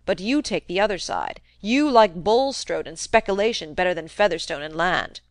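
Woman doing a gruff, cantankerous old squire. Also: fairly low pitch, expressive, moderate pace, no echo, clean studio sound. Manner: gruff, wheedling, loud and emphatic. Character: cantankerous domineering old country man.

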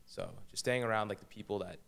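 A young man in his late teens answers interview questions in a small podcast studio. His voice is on the low side, and he speaks tentatively, often restarting his sentences. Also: fast, creaky, fairly bright tone, clear, resonant tone. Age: young adult. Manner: hesitant, many fillers and restarts. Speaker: guest, high school senior. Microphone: boom-arm studio mic.

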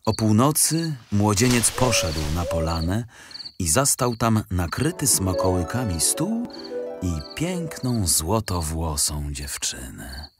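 A man narrating a fairy tale from a script in an expressive, dramatic storytelling manner. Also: low voice, takes it slowly. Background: music.

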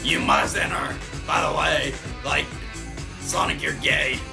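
Guy doing a gravelly rambling cartoon villain, slow talker, music under it. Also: fairly high pitch, fairly even intonation. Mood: rambling pompous. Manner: rambling, makes it up as he goes. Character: blustering mad scientist villain, middle-aged man. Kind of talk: comedic fan dub acting. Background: game music.

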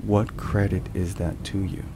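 A man with a low voice narrates in a solemn, scripted ASMR style. He speaks very slowly and with measured care. Rain and thunder are clearly heard behind him. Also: level tone, background noise. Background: thunderstorm and rain sounds.

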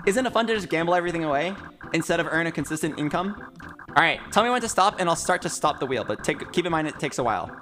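A man's voice: on the high side, animated, fast talker, a bit bright. A man in his twenties hosting a casual live stream, chatting at his desk in a small room. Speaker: streamer host. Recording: game music under the voice. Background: video game music.

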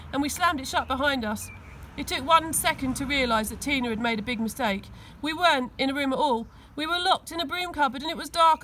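Bright, high-voiced Englishwoman reading a story. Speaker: school teaching assistant reading aloud. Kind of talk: expressive children's story reading. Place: outdoors on grass. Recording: noisy outdoor recording.

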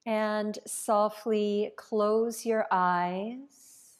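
Woman, meditation guide, extremely slow pace, even and flat intonation, calm delivery, long pauses. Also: mid-range voice, smooth. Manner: calm, unhurried, with long pauses between phrases. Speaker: yoga nidra teacher.

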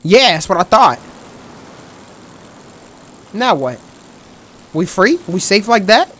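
A young man commentating a horror game in a loud, excitable, trash-talking way, his voice going hoarse, recorded on a headset mic at a home setup. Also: high-pitched, takes it slowly. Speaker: gaming streamer.

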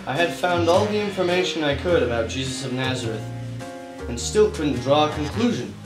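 A man's voice: fairly high pitch, animated, brisk, roomy sound, over music, poor audio. A man narrating and acting as a wisecracking detective in a church radio play. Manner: wisecracking, hard-boiled narration.

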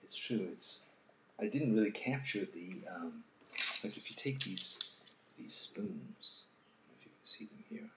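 A man in his sixties hosting a casual live drawing session, speaking haltingly and thoughtfully and often trailing off into a murmur. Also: low voice, lively intonation. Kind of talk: unscripted casual talk while drawing. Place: home kitchen, indoors. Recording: roomy echo, faint background noise.

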